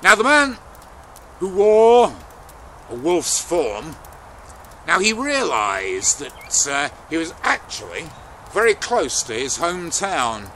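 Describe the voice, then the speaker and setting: A man tells an old folktale at an unhurried pace, his voice bright and forceful with a creaky edge. He phrases it dramatically and deliberately, leaving pauses, with some background noise around him. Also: on the high side, very animated. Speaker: storyteller. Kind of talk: oral folktale storytelling, unscripted.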